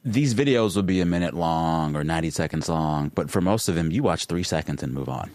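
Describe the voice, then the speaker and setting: A man's voice: low-pitched, lively intonation, fairly quick, a little mellow, no echo. A man hosting a casual interview, speaking informally and reacting eagerly to his guest. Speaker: interviewer, host.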